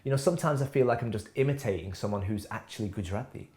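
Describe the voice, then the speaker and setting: A man's voice: lowish voice, rapid-fire, a little mellow. A man from England hosting a reflective talk to camera in his kitchen, musing and asking questions, often laughing. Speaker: host. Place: home kitchen.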